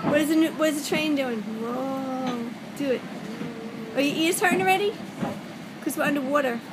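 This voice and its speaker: A young woman filming toddlers on a train, coaxing them in a singsong voice. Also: normal speed. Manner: singsong coaxing talk to small children. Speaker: parent filming children. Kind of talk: casual home video chat with toddlers. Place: inside a moving commuter train. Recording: loud train rumble and crowd noise.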